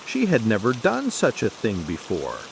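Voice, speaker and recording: An older man gently reading a bedtime story as an audiobook narrator. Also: on the low side, huge pitch swings, moderate pace, creaky, slight background noise, poor audio.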